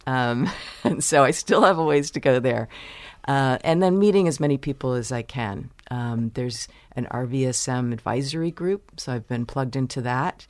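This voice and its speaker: Woman guest, from the US, measured and explanatory, close dry mic. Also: rumbling low, no echo. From United States. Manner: measured, explanatory, pauses to choose words. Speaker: university vice president, interview guest. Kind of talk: interview answers.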